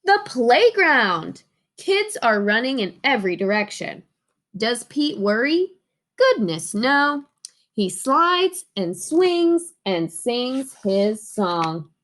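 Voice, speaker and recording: Woman, children's storybook reader, expressive, slightly high pitch, very unhurried delivery. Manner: expressive, addressing young listeners with questions. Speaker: teacher reading children's storybook aloud. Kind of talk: children's storybook read-aloud with sing-along.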